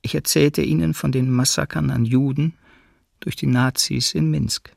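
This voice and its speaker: Witness in radio documentary drama: heavy creak, mid pitch, weary and reflective. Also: male voice, steady tone, moderate pace, darkish tone, dry recording, clean studio sound. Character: male witness recalling failed warnings, weary, earnest. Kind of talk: documentary radio play, memoir reading.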